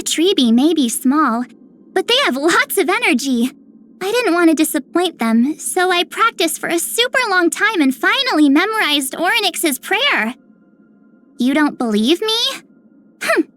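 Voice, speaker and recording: A woman's voice: very high-pitched, pushed and bright, heavily acted. A woman voicing an earnest, slightly bashful young girl in a video game, sweet and a little hesitant.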